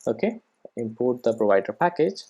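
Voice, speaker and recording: A man with a medium-pitched voice teaches in a screencast tutorial. His intonation is lively and moves up and down a lot. He explains step by step without a script, often tagging his phrases with short checking questions. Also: normal speed, husky. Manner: checks understanding with frequent tag questions. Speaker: programming tutorial instructor.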